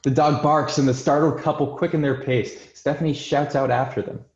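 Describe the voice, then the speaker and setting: A man's voice: medium pitch, steady tone, brisk, a little mellow. A man reading scripted screenplay stage directions as narrator. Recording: dull, roomy, echoing home recording.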